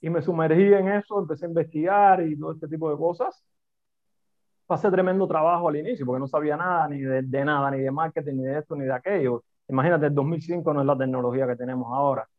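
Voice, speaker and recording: A Cuban-accented man talks animatedly over what sounds like a tinny phone line, his pitch sitting somewhat high. He builds his points from short repeated phrases in a relaxed interview. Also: fast. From Cuba. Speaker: podcast guest, entrepreneur. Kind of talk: conversational interview.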